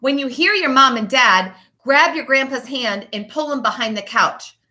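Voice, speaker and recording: A woman with a fairly high, strikingly bright voice reads aloud for young pupils. She speaks as a teacher would, expressively and emphatically, shaping phrases with deliberate pauses. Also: lively intonation. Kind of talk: children's picture book read-aloud and chat to pupils.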